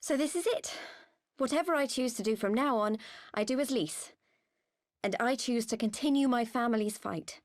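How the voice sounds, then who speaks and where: An actress playing an earnest, quietly resolute young woman fighter in a video game, speaking sincerely and with measured feeling. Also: a little high, no room sound, studio quality, lightly acted. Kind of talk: video game cutscene voice acting.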